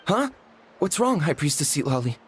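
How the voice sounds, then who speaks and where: A man voicing a soft-hearted, self-doubting youth in a video game, earnest and hesitant. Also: a little high, very animated, moderate pace, slight background noise. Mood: admiring, polite. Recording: studio voice over game music.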